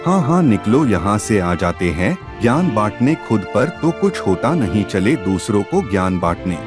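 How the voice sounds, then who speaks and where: Man as an arrogant farmer, swinging low voice. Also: sing-song, moderate pace, music in the background. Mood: scornful. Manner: scornful, boastful. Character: arrogant, selfish, short-tempered village farmer. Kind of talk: Hindi cartoon moral tale acting.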